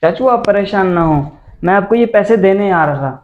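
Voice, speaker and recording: A man's voice: high voice, expressive. A young man playing a caring, principled son and nephew in an amateur moral drama, earnest and reasoning. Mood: reassuring. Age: young adult. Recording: echoey room, dull, faint noise.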